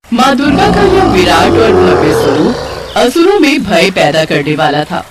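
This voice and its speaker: A woman's voice: medium pitch, huge pitch swings, normal speed, distant mic, very noisy. A woman narrating a scripted mythological tale in a dramatic storytelling style.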